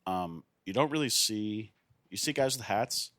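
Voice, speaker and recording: A man with a low voice chats as a podcast guest in a dry, close recording. He rambles through casual banter and swears freely. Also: expressive, unhurried, a bit bright, dry recording. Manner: rambling, profane.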